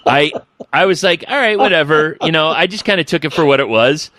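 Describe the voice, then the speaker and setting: A man's voice: on the high side, animated, moderate pace, pushed and bright. A man hosting a casual podcast interview, talking in a loose, rambling way with the odd swear word. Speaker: podcast host.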